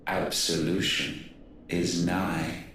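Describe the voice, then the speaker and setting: A man's voice: low voice, level tone, very slow, a bit bright, full character voice. A man playing a cold, imperious god who speaks in solemn, archaic, haughty pronouncements for a dramatic video game. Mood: solemn judgment. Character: cold, imperious male god looking down on humanity. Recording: echoing, distant sound with background noise.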